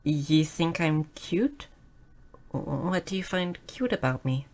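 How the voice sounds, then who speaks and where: A woman voicing a shy, nerdy, curious young goblin girl in a roleplay audio drama, speaking softly and earnestly with small stammers. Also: low voice, lively intonation, slow pace. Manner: soft, hesitant, stammering, earnest.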